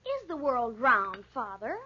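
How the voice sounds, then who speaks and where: A woman's voice: fairly high pitch, sing-song, measured pace, breathy edge, heavily acted. A woman in a 1940s radio drama playing a precocious, insolent young boy. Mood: curious, pert. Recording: muffled 1940s radio recording.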